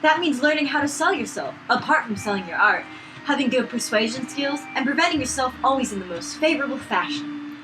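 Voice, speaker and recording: A woman's voice: high-pitched, expressive, a little fast, music in the background. A young woman co-hosting a let's play, reading game dialogue and chatting casually with a giggly, teasing manner. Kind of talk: casual chat and reading a visual novel aloud. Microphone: fallback mic after the main one failed. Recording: noisy, no proper microphone.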